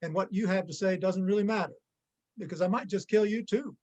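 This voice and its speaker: High-voiced menacing gangster played by a man. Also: brisk, a little mellow, dull audio, natural voice. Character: menacing, sarcastic male gangster robber, jumpy and vengeful. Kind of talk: amateur reader's theater acting.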